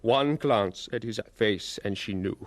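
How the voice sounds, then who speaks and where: Male actor as solemn reminiscing narrator, lowish voice, scripted radio drama, dry recording. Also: measured pace, no room sound, close to an everyday voice.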